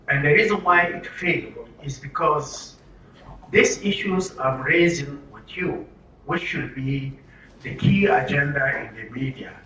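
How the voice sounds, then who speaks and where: A man's voice: fairly high pitch, bright, forceful tone, highs cut off. A man leading a workshop as trainer, speaking in an interactive lecture style with emphatic, rhetorical questioning. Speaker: trainer addressing media participants at an orientation. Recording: roomy echo, distant mic, faint noise.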